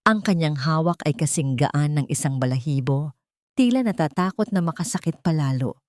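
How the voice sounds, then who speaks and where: Female narrator, low pitch, scripted dramatic storytelling, dry studio-like sound. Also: expressive, moderate pace, darkish tone, no room sound.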